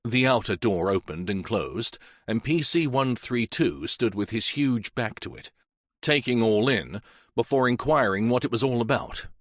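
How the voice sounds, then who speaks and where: A man reading a mystery novel aloud in a measured, even storytelling manner. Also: mid-range voice, no echo, studio quality. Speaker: audiobook narrator. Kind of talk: scripted narration.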